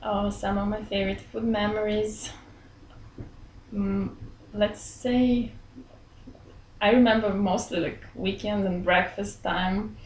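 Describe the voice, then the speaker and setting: Woman, 30s, from Belarus, friendly, laughs often, pauses for words, small office, webcam, roomy echo. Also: medium pitch, fairly even intonation, darkish tone. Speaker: interviewee. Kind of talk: casual interview chat. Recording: roomy echo, faint room hum.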